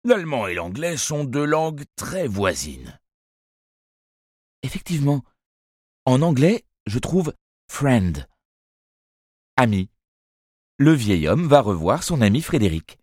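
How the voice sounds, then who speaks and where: A man reading a children's novel aloud as first-person narrator, in a measured, expressive storytelling manner. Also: medium pitch, no echo, clean studio sound. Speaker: audiobook narrator.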